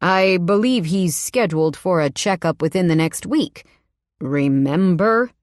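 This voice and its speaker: A woman's voice: on the low side, lively intonation, measured pace, no echo. A tall, sinister man, as a woman narrator puts him on, speaks in a vaguely British accent, clipped and icily courteous. Every polite word carries a threat. The audiobook recording is close and dry. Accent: vaguely British, not quite British or American.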